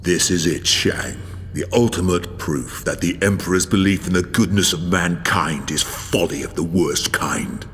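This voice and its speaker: An actor playing a brooding, embittered and ruthless warlord in a dramatic audiobook, grim, deliberate and theatrical. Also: male voice, deep voice, fairly bright tone, slight background noise. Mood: grim resolve. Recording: long hall reverb, music behind.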